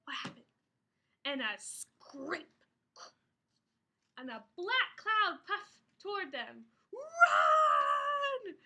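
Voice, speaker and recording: A woman reads a children's picture book aloud with dramatic, sing-song delivery. Her voice is extremely high and very bright, lively in pitch, and she exclaims as she talks to a young listener. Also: takes it slowly, vocal fry, long pauses, a little hiss or hum. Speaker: storyteller reading to a child.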